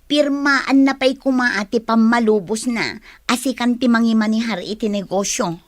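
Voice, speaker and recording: A woman's voice: a little high, normal speed, no room sound, clean studio sound, natural voice. A woman playing a sly, gleeful accomplice in an audio drama, coaxing and conniving in her delivery. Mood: urging. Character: sly, gleeful female accomplice urging a scheme. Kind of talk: Ilocano audio drama acting.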